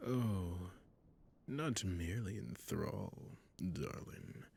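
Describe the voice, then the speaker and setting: A suave, sadistic vampire lord, acted by a man speaks in a deep, husky near-whisper that crackles with fry. He is possessive and condescending, wrapping threats in silky courtesy. The delivery is intimate roleplay audio, unhurried and menacing. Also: lively intonation, a little mellow, heavily acted. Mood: seductive, possessive. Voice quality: breathy, gravelly, near-whispering. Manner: slow, silky, mock-courteous with condescending endearments.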